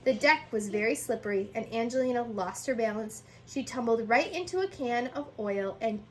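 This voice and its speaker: Female storyteller, high pitch, animated picture-book read with small stumbles, noticeable background noise. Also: moderate pace, rough recording. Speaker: storyteller reading aloud to children.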